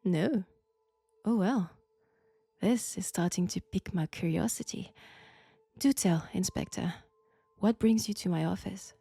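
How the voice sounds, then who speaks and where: A woman with a French accent playing an enigmatic, ominous occult professor in an audio roleplay, speaking deliberately and in a hushed way. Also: medium pitch, vocal fry, no room sound. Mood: curious, guarded. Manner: slow, deliberate, hushed, ominous, with whispered phrases. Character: enigmatic female occult professor, possessed vessel of an ancient entity. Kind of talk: audio roleplay acting addressed to the listener. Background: faint music.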